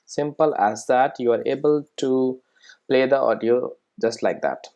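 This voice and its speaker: A software tutorial presenter explaining things step by step in an informal way, often checking in with the listener. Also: mid-range voice, measured pace, muffled recording.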